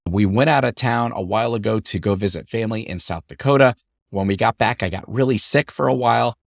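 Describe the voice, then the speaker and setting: Man, podcast host, hoarse from a cough, lowish voice, dry close sound, upbeat rambling chat. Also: a little fast, no echo. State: hoarse after illness, lingering cough. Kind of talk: solo podcast talk.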